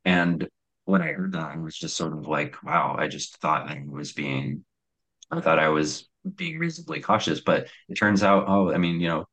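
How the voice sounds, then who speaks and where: A young man with a very deep voice telling his own story in a hesitant, reflective way, with many fillers and restarts. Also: lively intonation, normal speed, fairly bright tone.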